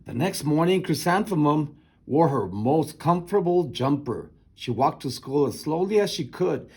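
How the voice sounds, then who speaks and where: Male storybook reader, expressive narration with a raspy, creaky voice. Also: a little high, moderate pace. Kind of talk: expressive children's read-aloud narration.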